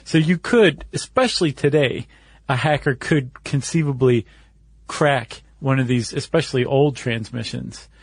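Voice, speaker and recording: A man's voice: mid-range voice, unhurried, dry recording. Male podcast co-host explaining in a dry, close recording. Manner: explanatory, reasoning step by step. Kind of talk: casual conversational explainer.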